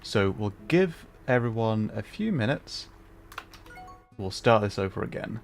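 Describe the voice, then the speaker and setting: A man's voice: fairly low pitch, sing-song, unhurried, vocal fry, faint music behind. A man hosting a casual gaming livestream, chatting with a wry, self-deprecating manner. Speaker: livestreamer host.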